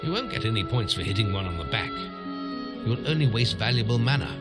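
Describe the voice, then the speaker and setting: An actor playing an aged, fatherly guild master and storyteller in a fantasy game, speaking in a measured, solemn, instructive way. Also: on the low side, very animated, loud music behind the voice. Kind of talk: scripted fantasy game dialogue and narration.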